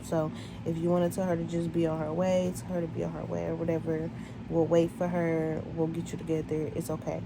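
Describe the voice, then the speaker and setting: Low-voiced woman telling a casual story. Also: gravelly, noisy background. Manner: candid, conversational, filler-heavy. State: congested. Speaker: vlogger.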